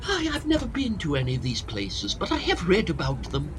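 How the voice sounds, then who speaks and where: A man's voice: a little high, huge pitch swings, fairly quick, fairly bright tone, a little hiss or hum, rough recording. A man acting a fussy, self-pitying older hypochondriac professor in a comic period farce, lamenting in florid theatrical style. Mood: proud, eager.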